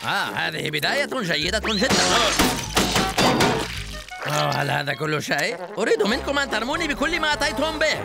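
Gravelly, pompous cartoon moose scoutmaster. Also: male voice, sits high, fairly quick, loud music behind the voice, exaggerated voice. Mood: furious, frantic. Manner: pompous, excitable, blustering. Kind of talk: Arabic cartoon dub acting.

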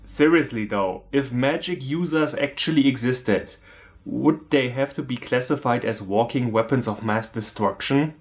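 A man's voice: mid-range voice, unhurried. A man, narrating a scripted comedic movie recap in a sarcastic, irreverent manner. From Germany. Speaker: movie reviewer, video host.

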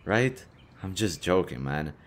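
A man's voice: deep voice, big pitch swings, unhurried. A man playing an easygoing young half-demon adventurer, talking casually to a best friend in an audio roleplay. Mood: relaxed, teasing. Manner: casual, teasing, chatty. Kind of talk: intimate audio roleplay acting.

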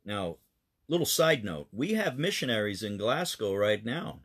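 Low-voiced man telling children a story. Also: very animated, unhurried, fairly bright tone, husky. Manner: explanatory, earnest. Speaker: pastor reading to children. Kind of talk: children's Bible-mission storytelling.

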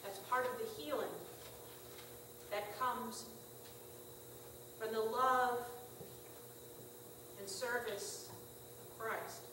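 Woman pastor, chatty wry sermon, kinda high voice, distant mic, big church echo, some room hiss. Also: steady tone, extremely slow, pauses often. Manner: conversational, wry and gently humorous. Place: small church sanctuary. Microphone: far room camera. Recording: echoey church from far off.

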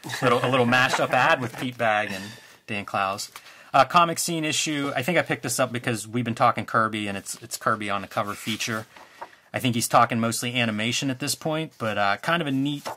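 A man in a casual show-and-tell chat about old comics, relaxed and musing, trailing off with fillers. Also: medium pitch, fairly quick, a little hiss or hum. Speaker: co-host showing comics.

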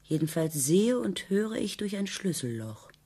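An actress playing an ironic, poised newlywed wife who needles and probes in a radio play. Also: female voice, lowish voice, big pitch swings, unhurried, mellow, breathy edge, heavily acted. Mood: wistful, insinuating. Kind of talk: German radio play acting.

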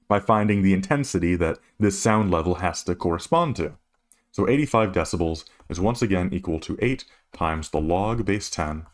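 A man working as a physics tutor, explaining a worked problem methodically, step by step. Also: low voice. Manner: methodical, instructional.

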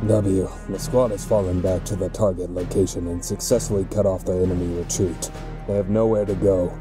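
Man playing a low-voiced, grim rebel soldier. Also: fairly even intonation, brisk, over music, natural voice. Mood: commanding. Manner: terse military reporting. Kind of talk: video game fan dub acting.

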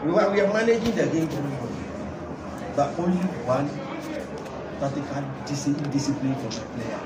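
A man's voice: fairly high pitch, animated, normal speed. A middle-aged man, a football coach answering at a post-match press conference, emphatic and repeating his points. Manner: emphatic, repeats key points, gestures while talking. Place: indoor press room with sponsor backdrop. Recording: echoey room, mic far off, loud crowd noise.

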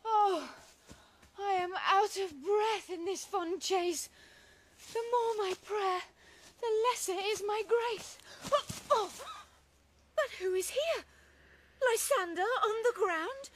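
A woman's voice: very high-pitched, slow, a little hiss or hum. A woman playing a young lovelorn maiden in a classical radio drama, earnest and plaintive, with theatrical verse delivery. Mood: desperate, breathless.

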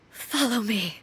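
A woman's voice: on the high side, a bit bright, slight background noise, full character voice. A woman voicing a solemn, regal warrior deity in a video game, speaking in a formal, composed and stately way. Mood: grave. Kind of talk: video game character acting.

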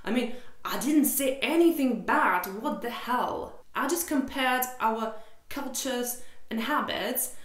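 A woman's voice: a little high, slow pace. Russian girl venting on her vlog, sweary and sarcastic, kinda echoey room. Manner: exasperated, candid, sarcastic, swears freely. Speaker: vlogger. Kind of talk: casual chat to camera. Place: small room indoors.

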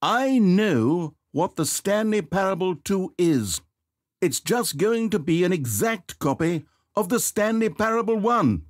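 An actor voicing a video game's narrator: a man with a polite, theatrical manner, breathy and fretful. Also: high-pitched, big pitch swings, a little slow, no room sound, exaggerated voice. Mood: smug. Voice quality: breathy, dry close-miked studio voice. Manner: polite, fretful, swinging between grandeur and self-doubt. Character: fussy, theatrical male narrator of a video game. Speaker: game narrator. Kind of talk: scripted game voice acting. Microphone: studio microphone.

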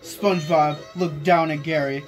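A young man reads aloud with amateur storytelling drama. His voice sits high for a man, and music plays clearly behind him in a small room. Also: fairly even intonation, normal speed. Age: young adult. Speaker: friend reading fan fiction aloud. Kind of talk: amateur read-aloud with casual chat. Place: small bedroom, indoors. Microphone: phone camera nearby.

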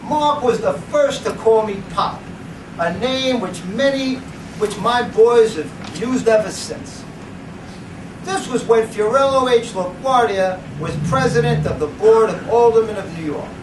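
A middle-aged man giving a dramatic, deliberate reading to a live audience, in a theatrical, declamatory way. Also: high voice. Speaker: presenter reading at a book event. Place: indoor library event room. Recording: roomy, noisy, distant camera microphone.

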